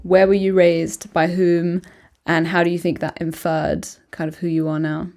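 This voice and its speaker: A woman hosts a podcast interview in a lowish, level voice with a gravelly edge. She asks reflective, measured questions in a casual conversation. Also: little pitch movement, warm tone. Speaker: podcast host.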